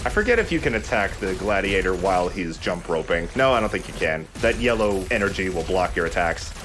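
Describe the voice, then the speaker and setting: Male let's play commentator, fairly deep, conversational and opinionated, slight background noise. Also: very animated, brisk. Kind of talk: casual gameplay commentary, explanatory.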